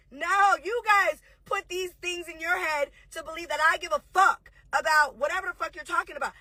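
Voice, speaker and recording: A woman in her thirties, a reality show host, ranting heatedly and profanely on a livestream, confrontational and rapid-fire. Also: extremely high pitch, bright, forceful tone, dry recording, low-quality recording.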